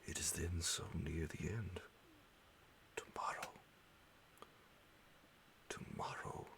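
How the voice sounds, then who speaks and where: A man reading a horror bedtime story in ASMR style, hushed and half-whispered, breathy and gravelly, with dramatic pauses. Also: extremely low pitch, steady tone. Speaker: audiobook narrator.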